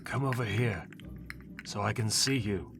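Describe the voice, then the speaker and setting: A man's voice: fairly low pitch, a little slow, creaky. A man voicing a proud sorcerer bound in a crypt, commanding and bargaining. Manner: imperious, bargaining. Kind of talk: audio drama acting. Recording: music underneath. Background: background music.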